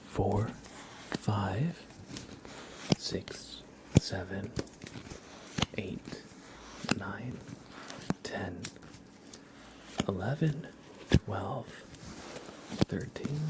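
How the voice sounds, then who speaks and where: A man hosting an ASMR stream in a soft, breathy half-whisper, speaking gently and informally. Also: fairly low pitch. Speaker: ASMR livestream host.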